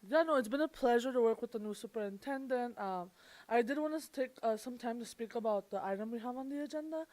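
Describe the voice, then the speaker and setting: A woman representing employees speaks to a school board. She talks casually, leaning on fillers, in a fairly high voice. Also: fast talker, a little mellow, rough recording. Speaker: labor relations representative. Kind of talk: public comment.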